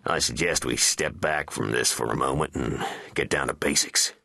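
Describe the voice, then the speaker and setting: A man voicing a stern, hard-right attorney general in a satirical audiobook, formal and measured as he intones. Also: very deep voice, gravelly, bright, forceful tone, no room sound, clean studio sound, exaggerated voice. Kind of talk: satirical audiobook character acting.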